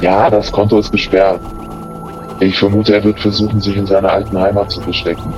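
A man's voice: on the low side, flat delivery, brisk, music in the background, close to an everyday voice. An actor playing a cool, calculating intelligence man in a radio thriller, detached and matter-of-fact. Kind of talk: radio drama acting.

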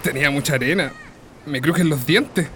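Dutiful, soothing Chilean son played by an actor. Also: male voice, fairly high pitch, normal speed, sharp tone. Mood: reassuring. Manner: deferential, soothing. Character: dutiful, reassuring grown son of a working family. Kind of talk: Spanish-language radio drama acting. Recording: noisy outdoor ambience.

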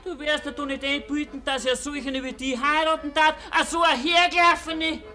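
Schrille, keifende bayerische Bäuerin. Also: female voice, high-pitched, flat delivery, normal speed, pushed and bright. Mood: scornful. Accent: Bavarian. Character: scornful, scolding Bavarian village woman. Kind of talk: 1950s dialect radio play acting.

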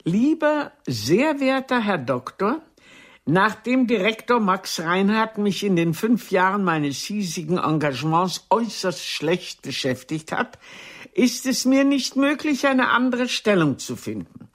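A woman playing a proud, bitter, sharp-tongued aging stage actress in a radio drama, with a haughty, theatrical delivery. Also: mid-range voice, big pitch swings, a little slow. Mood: formal reading. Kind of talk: radio drama dialogue acting.

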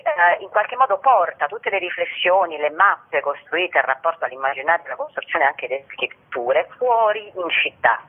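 A woman is being interviewed over the telephone, her voice squeezed into a narrow, tinny band. She lays out a programme of events as a guest would, often pausing to search for a word or correct herself. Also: on the low side. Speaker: guest, theatre company member presenting a festival.

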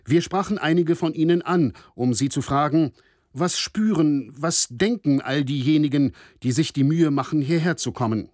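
An actor playing a formal radio reporter who narrates a trial report in a measured, descriptive way. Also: male voice, on the high side, a little mellow, no echo, clean studio sound, close to an everyday voice. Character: male radio reporter covering a war-crimes trial.

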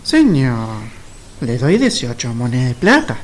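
Man playing slow, swooping, greedy gullible traveler. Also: medium pitch, wildly swooping pitch, slight background noise. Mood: eager. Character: gullible, greedy mule-riding traveler, eager to buy. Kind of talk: Spanish-language storybook radio play.

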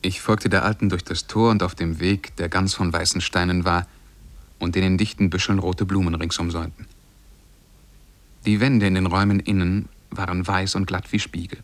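Male actor as lovestruck young man, low-pitched, measured and poetic, shy, 1950s radio play, dry close sound. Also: flat delivery, dry recording, natural voice. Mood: calm recounting. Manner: measured, poetic, careful diction. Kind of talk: 1950s German radio drama narration.

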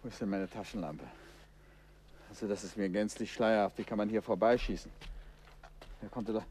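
An actor playing a calm, curious amateur detective in a 1950s radio drama, talking in a thoughtful, lightly teasing way. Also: male voice, on the low side, moderate pace, slight background noise, close to an everyday voice. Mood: puzzled.

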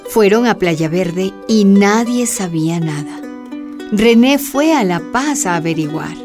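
A radio-drama narrator, voiced by a woman, with a mid-range pitch. She delivers scripted dramatic storytelling while music plays clearly behind her. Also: animated, unhurried, natural voice. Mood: narrating.

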